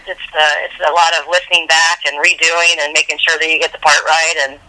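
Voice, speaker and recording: A woman with a deep voice chatting casually as a singer being interviewed over a phone call. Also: level tone, a little fast, bright, forceful tone. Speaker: tribute band singer, interview guest.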